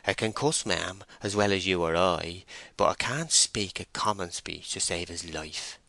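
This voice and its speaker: A man's voice: sits low, unhurried, gravelly, pushed and bright, no echo, exaggerated voice. A man voicing a sly, meddling farm labourer with a West Country accent, confiding and disapproving, in an audiobook story. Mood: grumbling, confiding. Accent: English West Country.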